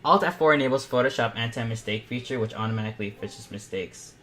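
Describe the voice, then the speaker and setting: A young man hosting a live online art panel, chatting casually and excitably to viewers as he paints. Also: medium pitch, fast. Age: teens or young adult. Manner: informal, excitable, giggly.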